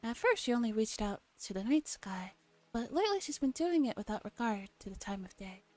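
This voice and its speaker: Higher-voiced woman reading softly over music. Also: sing-song, a little fast. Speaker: streamer playing a visual novel. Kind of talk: soft ASMR read-aloud and casual stream chat. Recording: music behind the voice.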